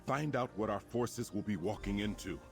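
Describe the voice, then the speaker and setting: Grave, low-voiced fantasy commander. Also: male voice, normal speed, gravelly. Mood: commanding, urgent. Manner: earnest, commanding, formal phrasing. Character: grave, resolute male military leader in fantasy game. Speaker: quest-giving game character. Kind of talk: scripted fantasy game dialogue. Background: music.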